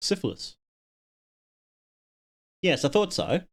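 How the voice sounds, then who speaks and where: A man's voice: a little high, big pitch swings, slow. Man rambling casually on a livestream. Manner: rambling, musing aloud, trailing off. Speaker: live streamer chatting with viewers. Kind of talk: casual off-the-cuff livestream chat.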